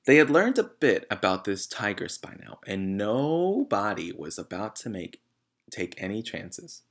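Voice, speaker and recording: A man with a lowish voice narrates a children's book. He reads aloud expressively, as a storyteller would. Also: sing-song, unhurried. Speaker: audiobook-style story reader.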